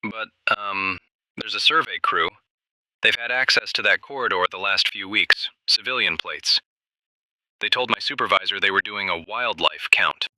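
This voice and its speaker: Radio drama caller, an uneasy ranger: very sharp-edged, low-pitched, halting, plain-spoken. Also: male voice, no echo, low-quality recording. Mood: hesitant, uneasy. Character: shaken, earnest desert ranger calling radio show. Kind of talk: radio drama phone-in testimony.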